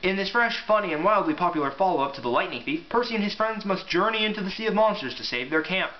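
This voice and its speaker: A man's voice: sits high, animated, quick pace. A man talking casually and reading aloud in an informal, offhand way. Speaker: amateur book reviewer and reader. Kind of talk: casual rambling review and book read-aloud. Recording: echoey room, muffled, faint hiss.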